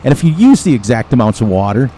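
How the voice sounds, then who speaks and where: A man's voice: mid-range voice, very animated, fast talker, dark tone, a little hiss or hum. A man hosting a farming game channel, chatting casually and rambling from one thing to the next with frequent chuckles. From United States. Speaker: gaming channel host. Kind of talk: casual gameplay commentary.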